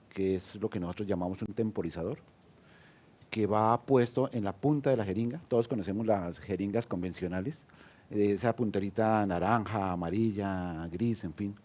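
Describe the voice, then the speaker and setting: Low, mellow-voiced Colombian man. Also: moderate pace. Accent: Colombian Spanish. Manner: hesitant, many fillers and restarts. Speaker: entrepreneur panelist. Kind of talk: panel discussion.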